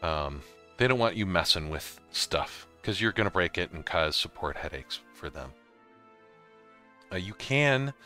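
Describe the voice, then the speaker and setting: A man with a low, forceful voice hosts an informal how-to for gamers. He talks through each step in a casual way, with a little music behind him. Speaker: host of a gaming tutorial series. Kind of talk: casual software tutorial narration over slides and screen capture.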